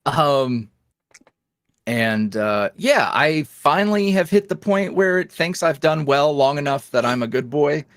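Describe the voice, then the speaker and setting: A man chatting casually as a podcast guest, his voice swinging up and down in an animated, lively way. He laughs often. The sound is dry and close, with no room echo. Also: a little high, a little slow. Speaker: guest, ASMR roleplay creator.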